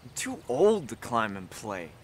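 A man's voice: mid-range voice, wildly swooping pitch, a little slow, a little hiss or hum. A man playing a restless grown man in a story who keeps asking for things. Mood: wanting. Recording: outdoors with birds and insects.

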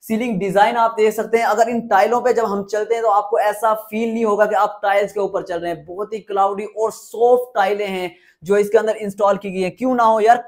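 High-pitched Pakistani man hosting a tour. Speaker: property tour host. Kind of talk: enthusiastic house tour presentation.

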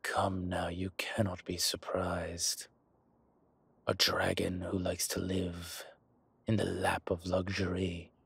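A man playing an arrogant, luxury-loving dragon mob boss in an intimate roleplay audio, speaking in a deliberate, smooth-talking way with a rough voice. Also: low voice, monotone, fairly bright tone, no room sound, exaggerated voice. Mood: smug, teasing. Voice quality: husky, rough. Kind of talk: intimate scripted roleplay audio, one-sided conversation.

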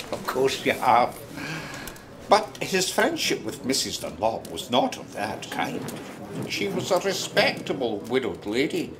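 A man's voice: on the high side, noisy background, heavily acted. An actor playing a wry, sociable Scottish man who narrates, musing and chatting about a poet friend in a radio play. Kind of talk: conversational radio drama narration.

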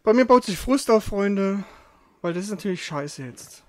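A man's voice: high voice, lively intonation, normal speed, no room sound. A man commenting casually on a video game as he plays, grumbling and swearing in frustration.

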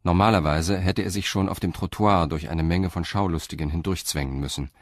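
A man's voice: sits low, brisk, no echo, studio quality. A man reading scripted narration for an audio drama.

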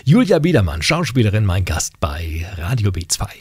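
A man's voice: lowish voice, sing-song, normal speed. A middle-aged man hosting a casual radio interview in a small studio, speaking into a close studio mic. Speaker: radio host. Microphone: studio mic on boom arm.

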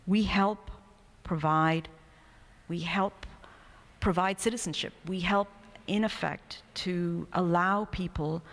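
Low-pitched woman speaking in a reverberant hall. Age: middle-aged. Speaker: professor, keynote speaker. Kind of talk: prepared academic keynote speech. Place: indoor university hall at a lectern. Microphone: microphone on a stand, close in front. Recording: reverberant hall through microphone.

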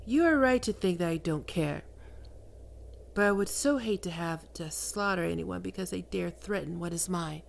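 Sultry demon queen of lust, a woman acting her out, low gravelly voice, slow and commanding, whispered ASMR roleplay. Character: seductive, domineering female demon queen of lust.

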